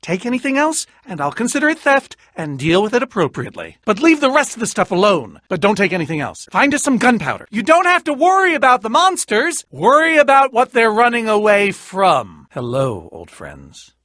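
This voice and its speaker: An eccentric game inventor with a very animated, swooping delivery. He is boastful but jittery, wordy and theatrical, often trailing into hesitant asides. The sound is close and dry. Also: high-pitched, fairly quick, few pauses, no echo. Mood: brisk, fussy, then anxious, dramatic. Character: eccentric, boastful, nervous male inventor and adventurer. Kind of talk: video game character voice acting.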